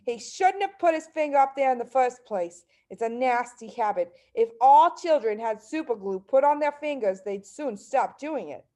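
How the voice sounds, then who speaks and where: A woman's voice: sits high, expressive, normal speed, few pauses, warm tone. A woman voicing a scolding, scornful middle-aged wife and mother in a children's story, bossy and dismissive. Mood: disapproving.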